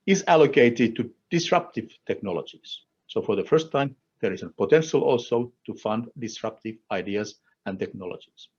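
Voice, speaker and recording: Man, Finnish accent, husky voice, mid-pitched, formal conference speech, deliberate emphatic phrasing, dull lo-fi recording. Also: darkish tone. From Finland. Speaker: European Commission official speaking at a conference.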